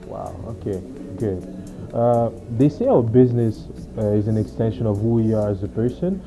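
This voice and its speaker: A man's voice: fairly low pitch, normal speed, over music. A man interviewing a guest with brief, direct questions.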